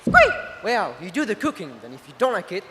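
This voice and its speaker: A woman voicing a fussy little male mouse cook who squeaks around his lines, in an echoey hall. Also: huge pitch swings, a little fast, heavy background noise. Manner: squeaks before and after each line.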